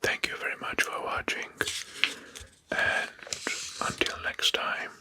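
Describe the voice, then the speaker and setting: A man narrating soft-spoken ASMR in a breathy, husky whisper, deliberately repeating names and phrases. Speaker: host of an ASMR history channel.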